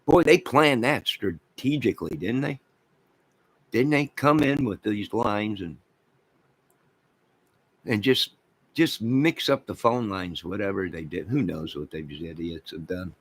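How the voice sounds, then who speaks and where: A man's voice: on the low side, a little slow, pauses often, fairly bright tone, slightly rough, rough recording. An American man giving a rambling, off-the-cuff rant as an online channel host, speaking in an exasperated, repetitive and emphatic manner.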